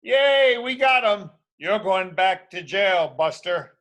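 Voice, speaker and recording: Man acting bright, excitable cartoon cops. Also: sits high, slow pace, voice pushed up, heavily acted. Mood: excited. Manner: calling out, scolding.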